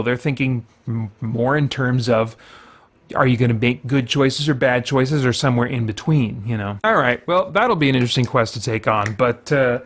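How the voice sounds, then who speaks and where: A man giving casual gameplay commentary as a show host, conversational and musing as he thinks aloud. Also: medium pitch. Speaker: gamer, host of a faith and geek culture show.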